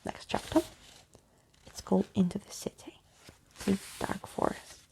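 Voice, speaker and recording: A woman storyteller reading aloud in a soft-spoken ASMR bedtime style. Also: a little slow, poor audio.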